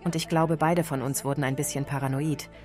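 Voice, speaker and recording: A woman's voice: sits low, steady tone, fairly quick, a little mellow, faint music behind. A woman speaking as a guest in a television studio interview, reflective and earnest. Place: TV studio set.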